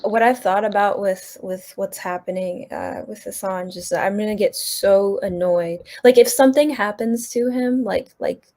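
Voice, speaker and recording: A woman joins a livestream chat over a video call, speaking in halting stops and restarts. She sounds exasperated, and her voice sits at a middle pitch. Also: darkish tone. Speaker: livestream guest.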